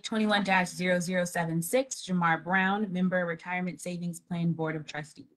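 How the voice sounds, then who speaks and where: A woman reads out bill numbers, names and board titles as clerk of a council meeting, speaking on a video call. Her reading is measured and formal, in a slightly low register. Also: steady tone. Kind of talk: reading agenda items aloud.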